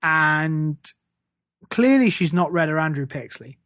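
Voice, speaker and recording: A man's voice: on the high side, lively intonation, a little slow, fairly bright tone, clean studio sound. English man, podcast host, scornful, sarcastic, foul-mouthed, casual ranting, very little echo. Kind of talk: casual comic rant.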